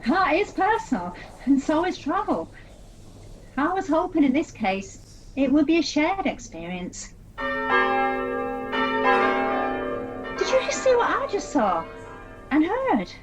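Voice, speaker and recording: A woman's voice: sits high, huge pitch swings, a little slow, music in the background, full character voice. A woman acting in an audio play as a chatty, self-deprecating tourist, on a lo-fi recording. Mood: uneasy. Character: chatty American woman tourist, art lover, self-mocking, searching for sister. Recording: dull lo-fi sound, faint noise.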